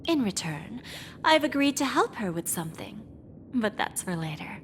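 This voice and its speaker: A woman voicing a poised, shrewd female pawnshop boss in a video game, deliberate and teasingly courteous. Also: fairly high pitch, very animated, a bit bright, long reverb tail, slight background noise. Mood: measured, knowing. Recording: background music under the voice.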